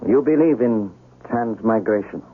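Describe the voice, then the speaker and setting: An actor playing a lovesick, proud young samurai with earnest, formal, dramatic delivery in a 1970s radio drama. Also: male voice, on the low side, a little slow. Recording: muffled, hissy old radio broadcast.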